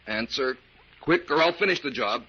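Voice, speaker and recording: A man's voice: medium pitch, expressive, moderate pace, sharp tone, husky, full character voice. A man playing a violent, threatening criminal in an old radio crime drama, barking his demands. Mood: menacing. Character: menacing violent male criminal demanding stolen rubies. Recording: dull lo-fi old radio recording.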